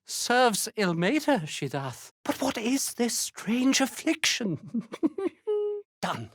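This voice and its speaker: A woman's voice: on the high side, big pitch swings, takes it slowly, slightly rough, no room sound, clean studio sound, exaggerated voice. A woman playing a cursed, mad old grandmother in a video game, with archaic courtly speech and compulsive laughter. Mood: manic, pleading. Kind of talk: video game character dialogue.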